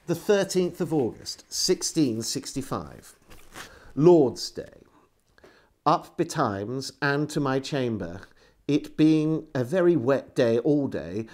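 A middle-aged man reading a historical diary aloud as a storyteller, in an expressive, measured way. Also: medium pitch. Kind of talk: dramatic literary reading. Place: indoors, small room lined with bookshelves.

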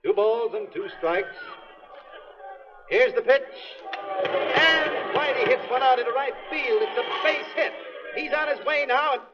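High-pitched excitable baseball announcer. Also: male voice, unhurried, pauses often, a crowd in the background. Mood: excited. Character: excited male baseball radio commentator, turning alarmed. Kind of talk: 1930s radio acting, play-by-play commentary. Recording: dull lo-fi 1930s radio recording.

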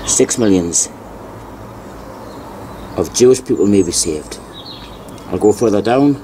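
A man narrating as he reads old newspaper articles aloud, deliberately, repeating key phrases. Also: on the low side, lively intonation, dark tone, slight background noise. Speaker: video narrator.

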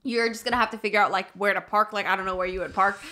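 An American woman in her twenties chatting casually as a podcast co-host, telling stories in an animated, slangy, sweary way. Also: mid-range voice, rapid-fire. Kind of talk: casual chat, storytelling. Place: small room indoors. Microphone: podcast microphone on boom arm.

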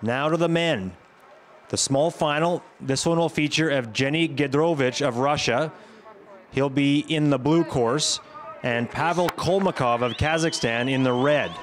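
A man giving scripted highlights commentary on a sports competition as the commentator. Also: measured pace, a little hiss or hum.

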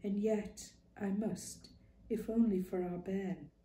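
A woman voices an anxious young wife, earnest and pleading, her voice raised and unsteady in pitch. She speaks slowly into a roomy, echoing space. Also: steady tone, warm tone, slight background noise, natural voice. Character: anxious young pregnant working-class wife. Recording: roomy echo.

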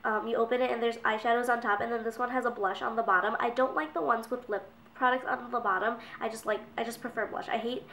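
A young woman with a cold sounds congested as she rambles on, dropping plenty of fillers. Her voice sits a little high. The camera mic hangs back from her, so the bedroom adds an echo. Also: steady tone, fast talker, few pauses, fairly bright tone, distant mic, a little hiss or hum. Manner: chatty, rambling with many fillers. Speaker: beauty vlogger. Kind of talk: casual talk to camera. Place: small bedroom indoors. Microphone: camera mic at a distance. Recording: roomy, from off the mic.

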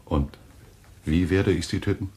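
An actor playing a skeptical, controlling journalist in a German radio thriller, with a deliberate, sardonic, interrogating manner. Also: male voice, sits low, dark tone. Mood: tense questioning. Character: skeptical, controlling male journalist, suspicious husband.

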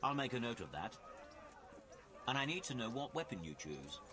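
An actor playing an officious medieval tournament official and herald in a video game, formal and declamatory. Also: male voice, medium pitch, very animated, normal speed, lightly acted.

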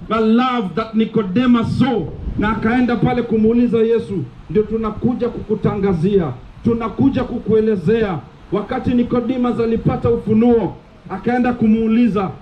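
A man's voice: very high voice, highs cut off. Kenyan man preaching on a beach street. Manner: declaiming, repetitive, urgent. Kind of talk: open-air sermon mixing Swahili and English. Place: outdoors on a sandy beach street. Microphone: camera at a distance.

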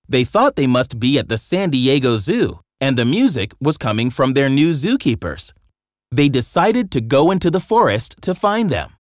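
A storybook narrator reading a scripted children's tale in an expressive, dramatic way. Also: mid-range voice, no echo, clean studio sound.

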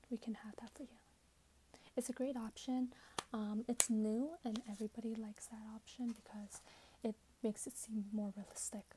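A woman in her twenties speaking softly and breathily, often in a whisper, in a casual ASMR roleplay as a shop assistant chatting with a customer. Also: fairly high pitch, a little hiss or hum, rough recording. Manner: casual, conversational, hesitant pacing as if chatting with a customer. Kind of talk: soft-spoken ASMR roleplay. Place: small plain room indoors.

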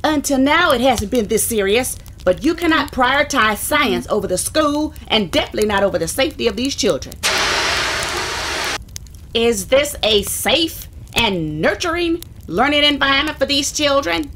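A woman's voice: high voice, animated, moderate pace, background noise. A woman playing a stern, exasperated school principal in a sitcom, admonishing and emphatic. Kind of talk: sitcom acting.

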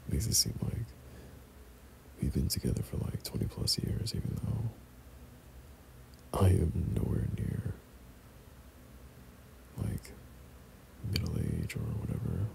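A young man playing an affectionate boyfriend in intimate ASMR roleplay, breathy and half-whispering, speaking hesitantly. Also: rumbling low, huge pitch swings, background noise, full character voice. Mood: tender. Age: young adult. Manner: slow, hesitant, soft chuckles between phrases. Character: tender, affectionate young boyfriend talking to his partner. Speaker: audio roleplay performer.

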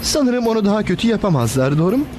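Timid, high-pitched cartoon sidekick. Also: male voice, sing-song, quick pace, music in the background. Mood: worried. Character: timid, earnest, worried male superhero sidekick. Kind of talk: animated cartoon dub.

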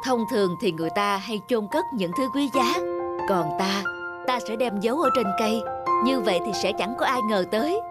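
A woman voicing a proud, studious father turtle in an animated fairy tale, with an instructive, self-important manner. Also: fairly high pitch, normal speed, loud music behind the voice, close to an everyday voice.

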